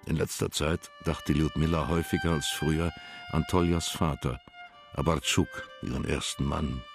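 Sehr tiefer, knarrender Erzähler. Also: male voice, very deep voice, steady tone, normal speed, music in the background. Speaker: narrator. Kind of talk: scripted literary narration for a German radio drama.

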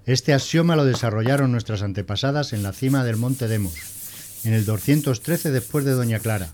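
A man's voice: medium pitch, brisk, a little mellow, slight background noise, close to an everyday voice. A man playing a prim, pedantic male schoolteacher who lectures didactically in a scripted audio drama. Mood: pompous lecturing. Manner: didactic, pompous.

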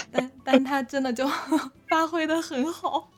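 Casting: female drama director with a fairly high voice, chatting off the cuff, halting, with repeated words. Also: steady tone, noisy background. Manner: hesitant, often repeating words mid-phrase. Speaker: audio drama director being interviewed. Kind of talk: unscripted casual interview chat.

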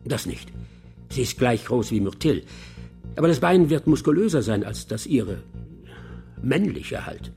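An actor playing a self-assured, coolly clinical professor of surgery in a radio crime drama, precise and matter-of-fact. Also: male voice, medium pitch, big pitch swings, a little slow, gravelly, long pauses, mellow, faint music behind. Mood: clinical, confident. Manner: matter-of-fact, precise, unfazed by gruesome detail.

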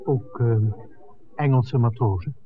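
A man's voice: medium pitch, takes it slowly, lightly acted. Actor as inquisitive man, tinny radio sound. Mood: curious. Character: inquisitive, persistent visiting filmmaker, a man. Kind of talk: 1960s Dutch radio drama acting. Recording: tinny old radio recording, background chatter.

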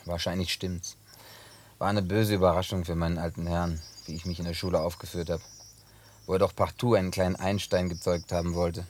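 Actor as blunt, low-voiced country man. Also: level tone, brisk, slight background noise, lightly acted. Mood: wry, reflective. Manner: blunt, colloquial, teasing. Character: gruff, wary, plain-spoken American country man. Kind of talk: naturalistic German radio play acting.

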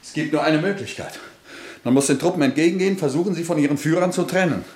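A man plays a resolute revolutionary leader in a German radio drama. His voice is fairly high, fast and urgent, with room echo. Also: darkish tone, a little hiss or hum, natural voice. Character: determined, idealistic revolutionary soldiers' leader. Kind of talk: German radio play acting.

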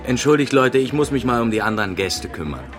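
An actor playing a chummy, chatty bar host with a familiar manner, in a naturalistic radio drama. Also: male voice, mid-range voice, lively intonation, fast, over music, lightly acted.

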